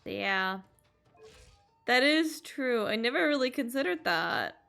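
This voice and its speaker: A woman's voice: on the high side, sing-song, slow, gravelly, pushed and bright. A woman giving upbeat, chatty, casual commentary as she streams a game. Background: faint game music.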